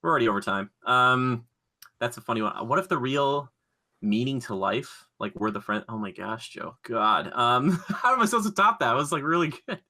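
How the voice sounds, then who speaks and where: A man with a bright, forward voice and average pitch hosts a casual podcast. He talks in a chatty, joking way, like someone bantering with a friend. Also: lively intonation, normal speed. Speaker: podcast host.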